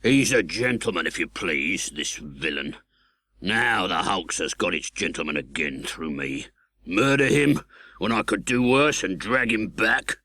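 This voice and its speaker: Guy doing a rough english convict for an audiobook, low slow grudging voice, very dry sound. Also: animated, pushed and bright, dry recording, full character voice. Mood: scornful. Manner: slow, grudging, uneducated speech. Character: hardened convict, rough, brooding, scornful man.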